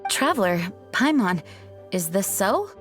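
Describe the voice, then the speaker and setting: A woman's voice: mid-range voice, very animated, measured pace, faint music behind. A woman playing a composed, polite young commander in a video game, speaking in a formal, questioning manner. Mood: concerned, composed. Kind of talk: scripted video game acting.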